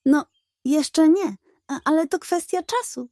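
A woman's voice: high voice, unhurried, darkish tone, no echo, clean studio sound, pitched above the performer's own voice. A woman voicing a scheming, hot-tempered, greedy male pug in a children's story, dramatic and indignant. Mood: dramatic alarm.

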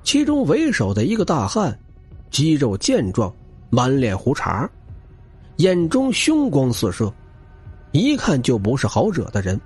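A man's voice: medium pitch, wildly swooping pitch, a little slow, a little mellow, breathy edge, faint music behind. A man narrating an audiobook in a dramatic, scripted style.

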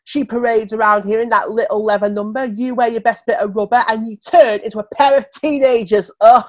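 A man's voice: high-pitched, steady tone, fairly quick, close to an everyday voice. A British man playing a camp, petulant superhero sidekick in a comic radio play, theatrical and huffy. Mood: indignant. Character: camp, petulant young male superhero sidekick, aggrieved partner.

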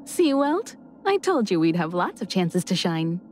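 A woman's voice: lowish voice, sing-song, measured pace, slight background noise. A woman voicing a confident, nurturing, elegant mentor in a video game, reassuring and poised. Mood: confident, caring.

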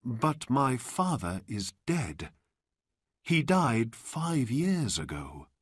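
Man voicing an innocent high-pitched young boy. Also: very animated, extremely slow, husky, no echo, studio quality, exaggerated voice. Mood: earnest. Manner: simple, questioning, earnest. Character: innocent, curious young boy, poor and polite.

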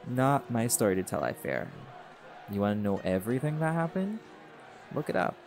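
A man's voice: medium pitch, sing-song, normal speed, vocal fry, a little mellow, a faint crowd, a little hiss or hum. An actor voicing a laid-back, teasing male jaguar shifter in an audio roleplay, blunt and flirtatious, with music playing behind.

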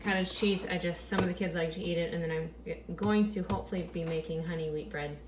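Woman vlogger, thirties, lowish voice, chatty and offhand, recorded by a handheld camera in an echoey kitchen with steady noise. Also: fast. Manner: chatty, thinking aloud about prices. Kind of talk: casual grocery haul narration. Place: home kitchen.

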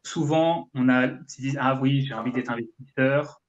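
A man's voice: mid-range voice, fairly even intonation, moderate pace, dull audio. A man in his thirties from France, giving explanatory, conversational answers on a video call. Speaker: guest, real estate investment coach. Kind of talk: conversational live interview answers. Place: indoor room, video call.

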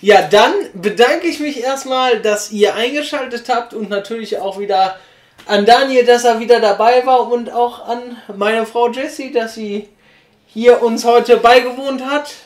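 A man's voice: very high-pitched, unhurried. Casual young man in an echoey room. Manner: laid-back, colloquial, enthusiastic. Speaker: host, reviewer. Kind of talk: casual vlog chat. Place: small room on a sofa. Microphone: camera across the room.

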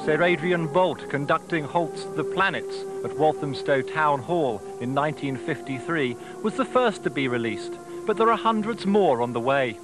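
A man's voice: on the high side, lively intonation, normal speed, hardly any pauses. A man with an English accent reading scripted narration as a television news reporter. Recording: music behind, home off-air TV recording.

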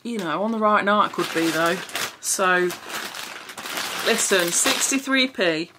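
A woman's voice: mid-range voice, animated, measured pace. Young woman chatting frank to camera in her bedroom, swears a bit, hiss on the mic. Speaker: vlogger. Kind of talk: casual haul chat to camera. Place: small bedroom indoors.

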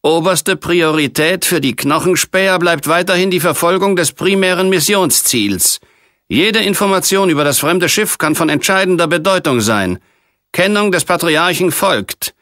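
A man's voice: high voice, lively intonation, moderate pace, a bit bright, no room sound, pitched above the performer's own voice. A stern alien military officer acted by a male audiobook reader delivers official dispatches. The voice is raised and emphatic, clipped and formal, recorded dry and close.

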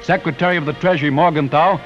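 A man's voice: fairly high pitch, steady tone, quick pace. An American man reading scripted 1940s newsreel narration in a solemn, declamatory, patriotic manner. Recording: dull vintage newsreel soundtrack with music.

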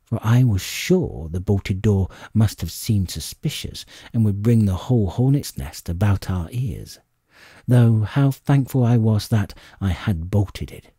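Husky, animated man narrating a story. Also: fairly low pitch, no echo, studio quality. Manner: expressive, measured storytelling. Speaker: audiobook narrator. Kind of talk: dramatic scripted storybook narration.

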